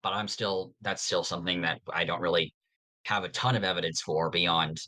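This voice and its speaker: Low voiced podcast host nerding out earnestly, casual chat, kinda hesitant explaining. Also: fairly even intonation, normal speed, pushed and bright. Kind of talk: casual fan theory discussion.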